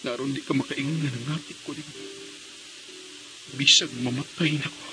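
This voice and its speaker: A man's voice: medium pitch, fairly even intonation, moderate pace, music in the background, lightly acted, poor audio. A man with a Visayan Filipino accent playing a brooding, devoted young man in a dramatic radio play. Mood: brooding, determined.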